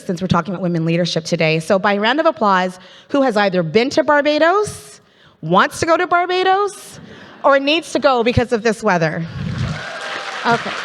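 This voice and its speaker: A Canadian woman hosting a live business event as MC, chatty and playful as she draws the audience in over a stage microphone. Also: medium pitch. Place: large conference hall. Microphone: handheld stage microphone. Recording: reverberant conference hall.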